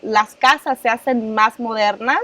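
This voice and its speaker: A woman hosting a podcast interview, encouraging her guest and thanking him warmly as she wraps up. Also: on the high side, unhurried. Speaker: podcast host. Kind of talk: interview host.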